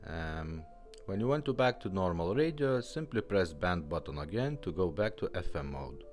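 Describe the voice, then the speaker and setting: A man giving a casual, informal product demonstration in a halting delivery, as a vlogger. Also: deep voice, expressive, music in the background.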